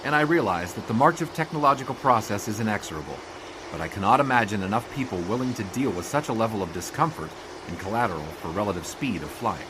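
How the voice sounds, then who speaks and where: An actor playing a dry, wordy American newspaper sportswriter of the 1920s, narrating and talking in an audio drama. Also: male voice, fairly low pitch, expressive, fast talker, noisy background, natural voice. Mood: wry, musing. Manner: dry, wry, formal wordy phrasing. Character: wry, wordy 1920s newspaper sportswriter, queasy reluctant flyer. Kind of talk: first-person narration and dialogue in period audio drama.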